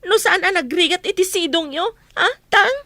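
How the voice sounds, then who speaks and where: A worried, dutiful young daughter in a radio play. Her voice is very high and full of care for her siblings. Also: animated, moderate pace, sharp tone, dry recording, full character voice. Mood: reproachful. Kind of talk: radio drama acting.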